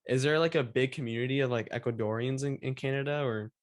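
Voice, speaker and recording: A young man from Venezuela hosting an interview in a relaxed, casual way, packing his speech with fillers. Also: mid-range voice, quick pace. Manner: relaxed, filler-heavy with lots of "like". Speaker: host.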